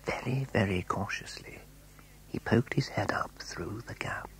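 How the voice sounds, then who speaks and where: A man narrating a children's story in a dramatic, expressive, suspenseful way, with a husky, breathy voice. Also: fairly low pitch, measured pace, in a whisper, rough recording. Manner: expressive, suspenseful, building excitement.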